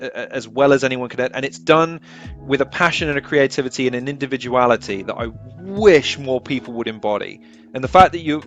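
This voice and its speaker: A man, hosting a casual video-call interview from a small home room on a headset mic, effusive and prone to loud, sweary outbursts. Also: mid-range voice. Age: 30s. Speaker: podcast host.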